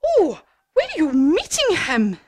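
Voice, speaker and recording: Woman as an owl, storybook character, high pitched, inquisitive, easily startled, animated swoops. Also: a little slow, heavily acted. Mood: puzzled. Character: female owl, inviting, inquisitive, easily frightened.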